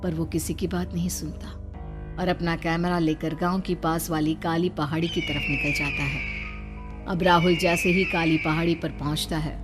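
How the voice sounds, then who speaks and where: A woman reading scripted horror story narration in a suspenseful, dramatic way. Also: deep voice.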